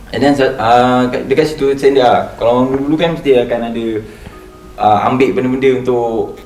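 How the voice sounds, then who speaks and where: Halting Malaysian man, roomy recording. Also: mid-range voice, darkish tone, faint music behind. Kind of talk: casual storytelling chat. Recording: roomy, distant mic, faint noise.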